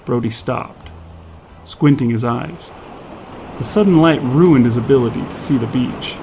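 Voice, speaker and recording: A man's voice: mid-range voice, a little slow, music in the background. A man narrating scripted fiction as a storyteller.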